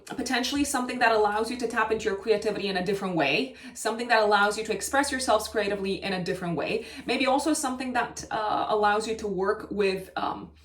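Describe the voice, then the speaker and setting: A woman's voice: a little high, a bit bright. A woman with a Romanian accent giving a horoscope reading, thoughtful and hedging, with many fillers and restarts. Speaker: astrologer. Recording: echoey room, mic at a distance.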